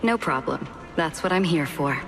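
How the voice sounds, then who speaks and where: A woman playing a calm, courteous, professional staff guide who explains things articulately in a video game. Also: sits low, moderate pace. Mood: friendly, reassuring. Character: composed, helpful female staff guide, politely professional. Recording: loud music behind.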